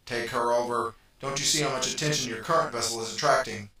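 Cold bossy alien, voiced by a man, bright tone, heavy echo. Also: mid-range voice, fast, recorded from a distance, faint music behind, lightly acted. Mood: stern. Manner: stern, flat, commanding. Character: cold alien entity, male, bossy and impatient.

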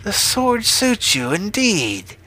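Man voicing a slow, menacing witch, pitched high. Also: huge pitch swings, pushed and bright, full character voice, rough recording. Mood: gloating. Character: menacing old witch, slyly pleased, vengeful.

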